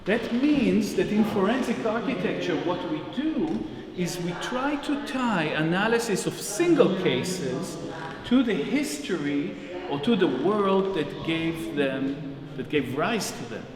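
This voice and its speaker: An Israeli-accented man gives a spoken tour in a big, echoing space, with people audible around him. His voice sits a little high for a man. He picks his phrases deliberately, often recasting them as he talks. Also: sing-song, a crowd in the background. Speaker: research agency director giving a narrated exhibition tour. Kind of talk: public talk, spoken off the cuff. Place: large echoing gallery space. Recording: echoey reverberant hall with audience sounds.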